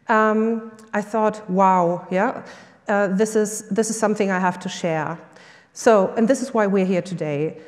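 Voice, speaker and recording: A woman's voice: mid-range voice, long reverb tail. A middle-aged woman with a German accent gives a conference talk in English, enthusiastic, with many fillers and restarts. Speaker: summit speaker, lecturer and coach.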